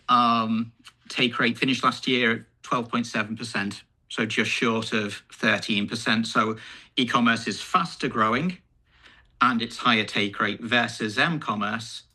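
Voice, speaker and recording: Very bright, sharp low-voiced man. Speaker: company executive. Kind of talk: informal unscripted answers on an earnings call.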